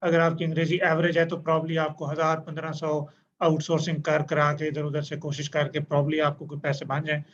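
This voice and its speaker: A man's voice: fairly high pitch, completely flat delivery, moderate pace, a bit bright. A man hosting a casual online interview, chatty and agreeable, with joking asides. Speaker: host. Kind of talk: casual Urdu-English interview. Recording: dull lo-fi sound with faint noise.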